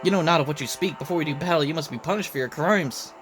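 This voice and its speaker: A man's voice: on the high side, fast, over music, close to an everyday voice. A man voicing a haughty female pharaoh from a game in an imperious, declamatory manner. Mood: indignant. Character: haughty, indignant female pharaoh guarding her treasure.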